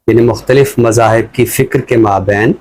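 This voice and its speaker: A man with a Pakistani accent giving reflective, conversational commentary as a scholar, explaining things in a measured way. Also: mid-range voice, steady tone, a little mellow. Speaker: scholar commentator on a talk show.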